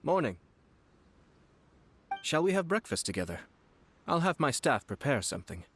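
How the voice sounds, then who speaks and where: An actor playing a refined young nobleman in a video game, courteous, composed and slyly teasing, with formal, measured speech. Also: fairly high pitch, sing-song, fairly bright tone, a little hiss or hum. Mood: soft, attentive. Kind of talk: scripted game character voice lines. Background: background music.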